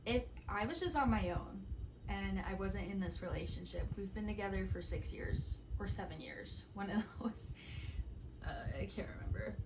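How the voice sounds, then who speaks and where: Late-20s woman talking things through at home, quiet and reflective, lots of "like", mid pitch, some steady noise behind her. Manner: soft-spoken, thoughtful, with many restarts and "like". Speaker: vlogger. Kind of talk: casual reflective chat to camera.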